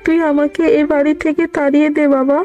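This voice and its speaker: A woman's voice: sits high, level tone, fast, warm tone, highs cut off, close to an everyday voice. A woman voicing a spiteful, complaining middle-aged mother-in-law in a fairy-tale cartoon, sarcastic and self-pitying. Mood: wailing, self-pitying.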